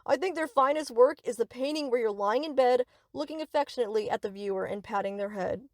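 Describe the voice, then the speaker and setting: Woman doing sweet obsessed yandere girl asmr roleplay, kinda high voice, cutesy rambly and possessive, no room echo. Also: quick pace, a little mellow, clean studio sound, natural voice. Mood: affectionate, rambling. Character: sweet, obsessive yandere best friend, young woman captor. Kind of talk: scripted ASMR roleplay, addressing the listener.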